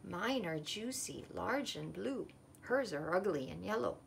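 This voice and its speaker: A woman's voice: on the low side, animated, measured pace, gravelly, slight background noise, voice pushed down, heavily acted. A woman voicing a boastful, coaxing female plum in a storybook, with a showy, sing-song delivery.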